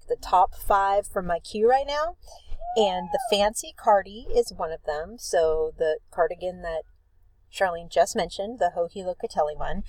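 A woman's voice: on the low side, dry recording, poor audio. Sniffly woman rambling about her knitting. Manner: relaxed, rambling, self-deprecating. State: sniffly from allergies. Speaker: knitting podcast co-host. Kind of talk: casual chat. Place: outdoors at a beach.

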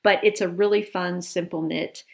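A woman's voice: on the low side, fairly even intonation, normal speed, vocal fry. A woman co-hosting a knitting podcast, chatting enthusiastically and in detail, sniffling a little. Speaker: podcast co-host. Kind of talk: casual chat about knitting.

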